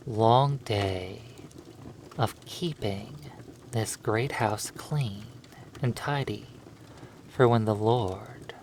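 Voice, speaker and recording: A man narrating a hypnosis roleplay in a soothing, lulling manner, leaving long pauses between phrases. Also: fairly low pitch, a little mellow, slight background noise.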